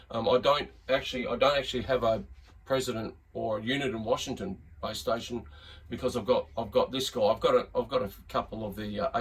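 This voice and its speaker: A man's voice: mid-range voice, husky. An Australian man giving a casual, unscripted demonstration as a radio hobbyist, rambling and hesitant in a laid-back way. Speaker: radio hobbyist presenting a video. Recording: distant mic, slightly muddy room sound.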